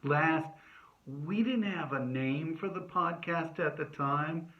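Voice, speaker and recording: A man hosting a casual comedy podcast, joking playfully and given to sudden loud exclamations. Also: on the high side, takes it slowly, highs cut off. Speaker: podcast host.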